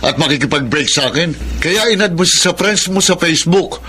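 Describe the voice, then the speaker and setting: An actor playing a callous, defensive young boyfriend in a heated, confrontational Filipino radio drama. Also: male voice, on the high side, expressive, a little fast, slight background noise. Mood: accusing. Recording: radio drama over loud music.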